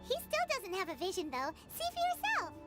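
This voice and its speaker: A woman's voice: extremely high pitch, wildly swooping pitch, fairly quick, music in the background, exaggerated voice. A woman voicing a tiny, chirpy female floating companion sprite in a video game, bubbly and matter-of-fact. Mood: perky.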